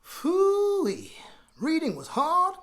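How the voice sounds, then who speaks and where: A woman's voice: extremely high pitch, huge pitch swings, very slow, a bit bright, voice pushed up, exaggerated voice. A woman voicing a mischievous schoolboy, grumbling and exclaiming, in a children's storybook reading. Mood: sulky, indignant. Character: sulky, excitable, mischievous schoolboy.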